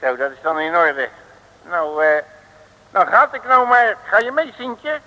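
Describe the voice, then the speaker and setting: A brash, boastful bumbling detective. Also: male voice, high-pitched, a little slow, sharp tone, muffled recording. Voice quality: brash, chatty, slangy. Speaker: detective Piet Loeris. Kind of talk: 1950s Dutch radio comedy acting.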